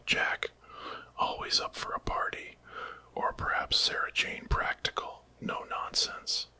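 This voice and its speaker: A man acts out a resourceful, eccentric male time traveler in an ASMR story. He is brisk and commanding. Every word is a bright, breathy whisper. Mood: urgent.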